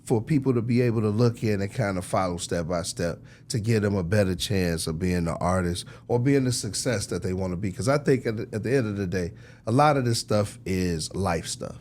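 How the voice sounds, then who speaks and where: A man's voice: fairly low pitch, a little fast, darkish tone, a little hiss or hum. An American man being interviewed as a record executive, talking casually in slang with lots of conversational tags.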